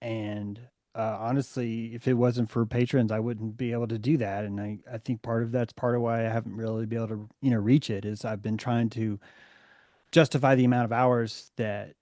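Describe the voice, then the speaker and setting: A man hosting a group call, speaking candidly and reflectively with halting restarts. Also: mid-range voice, flat delivery, a little mellow, no room sound, clean studio sound. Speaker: host. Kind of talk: casual chat.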